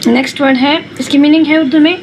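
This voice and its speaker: A woman with a Pakistani accent explaining exercises as a teacher, spelling out words and switching between explanation and slowly read phrases. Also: sits high, steady tone, darkish tone, poor audio. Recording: noticeable background noise.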